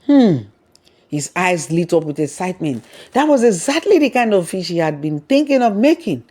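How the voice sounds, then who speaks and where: A storyteller narrates a folktale from a script. The voice sits at a middle pitch and tells the story as a read narrative. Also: sing-song, normal speed, darkish tone.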